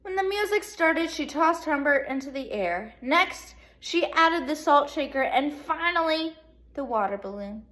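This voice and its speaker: A woman teacher reading a storybook aloud to children in an animated way, pausing to put questions to her young listeners. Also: high-pitched. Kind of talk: expressive children's read-aloud, speaking to young pupils.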